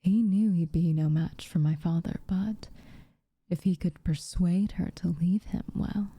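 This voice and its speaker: A haughty tsundere witch acted by a woman speaks in a very mellow, dark tone, breathy and often near a whisper. Her phrasing is formal and unhurried, guarded on the surface with tenderness underneath. The sound is close and dry, as in an ASMR roleplay. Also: fairly low pitch, dry recording. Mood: grim, measured. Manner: slow, formal, measured wording with reluctant warmth. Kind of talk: ASMR roleplay, softly spoken to the listener.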